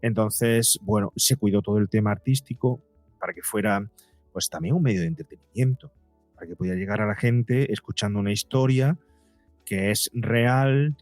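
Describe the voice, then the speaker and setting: A man's voice: on the low side, a little mellow, no room sound. A man answers as an interview guest, explaining conversationally, often repeating and rephrasing as he goes. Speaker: podcast guest, psychologist and documentary creator.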